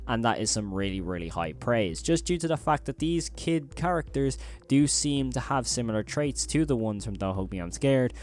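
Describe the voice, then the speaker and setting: A man's voice: mid-range voice, animated, moderate pace, a little mellow, slight background noise. A man hosting a video in a commentary voice-over, talking in an emphatic, wordy way with repeated phrasing. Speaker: video host.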